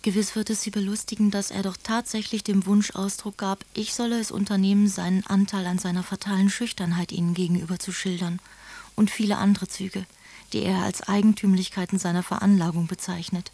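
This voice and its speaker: An actress playing a gentle, devoted aristocratic sister and young mother, speaking letters politely and effusively in a German radio play. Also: medium pitch, level tone, brisk, lightly acted. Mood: affectionate, apologetic.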